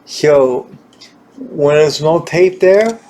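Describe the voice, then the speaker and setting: A man giving an informal hands-on equipment demonstration, halting and repeating himself as he searches for words. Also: on the high side, lively intonation, dark tone. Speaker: gear demonstrator.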